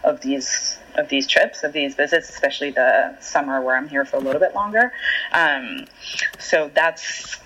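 A low-pitched woman with a husky voice speaks thoughtfully in an interview. Her first language is Hebrew, and she talks haltingly, with frequent ums and restarts. The audio is dull and lo-fi. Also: sharp tone. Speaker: author being interviewed. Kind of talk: reflective conversational interview.

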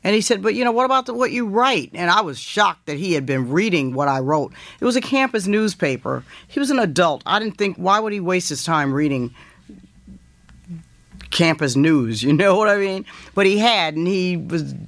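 A woman in her fifties with a deep voice, a guest author telling stories from her student days in an animated way. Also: moderate pace, no echo. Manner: animated, quotes others in lively voices, laughs while telling. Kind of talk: casual storytelling interview.

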